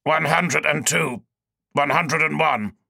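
A man's voice: on the high side, slow, gravelly, dry recording, studio quality, voice pushed up, exaggerated voice. A man doing a cold mechanical tech-priest. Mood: clinical. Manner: flat, precise, literal.

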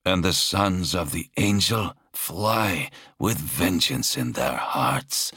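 A man's voice: sits low, animated, takes it slowly, pushed and bright, husky, dry recording, clean studio sound, exaggerated voice. A man voicing an entranced warrior prophet for an audiobook, reciting ominous verse in a solemn, portentous way. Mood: trancelike, ominous.

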